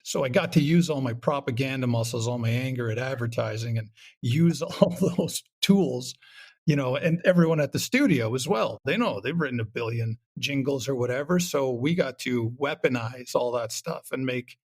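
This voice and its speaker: A man with a gravelly edge and middling pitch talks casually as a podcast guest. He is wry and rambles, slipping into quoted remarks as he goes. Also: normal speed, darkish tone. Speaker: podcast guest, comedy show creator. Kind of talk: casual interview conversation.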